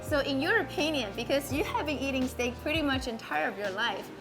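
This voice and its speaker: A woman host, lively and laughing, interviewing a chef. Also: sits high, fairly quick. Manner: lively, laughs often, mixes Mandarin and English. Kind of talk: casual interview. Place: commercial kitchen butchery room, indoors. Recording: music bed under the voice. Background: background music.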